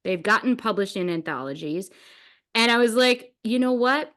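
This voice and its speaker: A woman hosting a podcast, chatting casually in a gushing, animated and giggly way. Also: a little high, normal speed. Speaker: podcast host. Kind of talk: casual enthusiastic chat.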